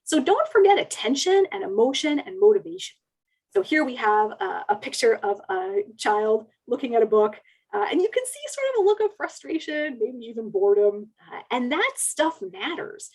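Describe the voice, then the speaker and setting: High-voiced, husky woman giving a lecture. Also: sing-song, moderate pace. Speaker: education researcher presenting. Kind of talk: explanatory webinar lecture.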